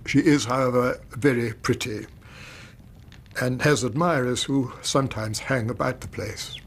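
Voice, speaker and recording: An actor playing an anxious, grieving middle-aged English widower and banker in a radio drama, telling his story in a formal, halting, agitated way. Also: medium pitch, slight background noise, lightly acted. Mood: hesitant, formal.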